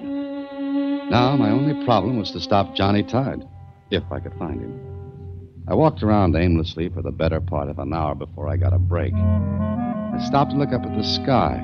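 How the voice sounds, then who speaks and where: An actor playing a hard-boiled writer-detective in a 1940s radio drama, dry and wisecracking, narrating the story. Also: male voice, low voice. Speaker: narrator and lead. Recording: dull old radio recording.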